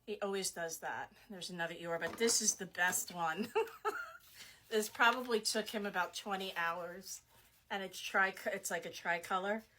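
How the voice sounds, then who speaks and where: A middle-aged woman whose voice is going hoarse, talking casually in her shop office as she shows off what she sells. She chats freely with lots of ums and little laughs, at an ordinary pitch. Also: normal speed, sharp tone, a little hiss or hum. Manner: chatty, laughs often, many fillers. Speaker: small shop owner. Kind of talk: casual product showcase.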